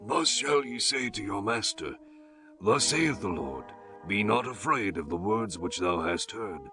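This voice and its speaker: An actor playing a solemn, authoritative Hebrew prophet, proclaiming in a dramatized scripture audiobook over music. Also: male voice, fairly low pitch, animated, unhurried. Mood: solemn, assured. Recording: prominent music under the voice.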